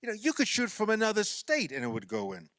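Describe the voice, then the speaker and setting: A man's voice: high voice, huge pitch swings, fast talker, pushed and bright, dry recording, clean studio sound, full character voice. A man playing a sardonic husband with wounded pride in a comedic radio play, sarcastic and dramatic. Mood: wry, defeated. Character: sardonic husband, sore loser at backyard basketball.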